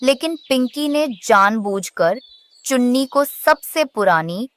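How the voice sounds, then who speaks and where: A woman's voice: fairly high pitch, lively intonation, slow pace, fairly bright tone. A woman narrator reading a scripted children's story.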